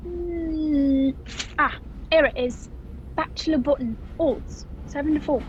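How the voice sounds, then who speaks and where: Woman as folksy passenger for radio play: high voice, chatty, slightly dull noisy call audio. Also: slow, a little mellow. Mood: chatty, intrigued. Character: folksy train passenger reading the newspaper aloud. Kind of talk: old-time radio play acting. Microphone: video call.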